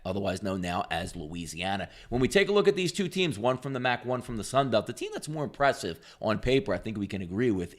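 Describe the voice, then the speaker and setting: A man's voice: medium pitch, a bit bright. Emphatic male sports betting host. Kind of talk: sports betting analysis to camera.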